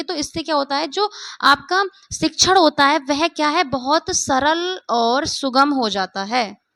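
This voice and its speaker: Hoarse woman teacher, high gravelly voice. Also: pushed and bright, dry recording. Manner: repeats points, keeps asking "ठीक है?". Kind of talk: exam-prep lecture.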